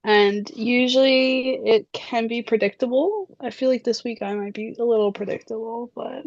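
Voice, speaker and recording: A young woman co-hosting a casual chat, chatty and giggly, her voice a little hoarse. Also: a little high, no echo, lo-fi sound. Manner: giggly, chatty, drawn-out fillers. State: slightly hoarse. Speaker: podcast co-host.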